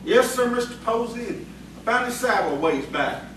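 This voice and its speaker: A man's voice: very high-pitched, lively intonation, moderate pace. A man playing a deferential, folksy country man in a radio play, hesitant and plain-spoken. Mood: earnest, puzzled. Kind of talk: live radio-play acting. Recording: echoey, distant, noisy live hall recording.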